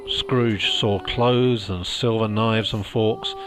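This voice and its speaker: A man's voice: fairly low pitch, steady tone, slow pace. A man reading a storybook as audiobook narrator, in scripted narration. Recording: music behind the voice.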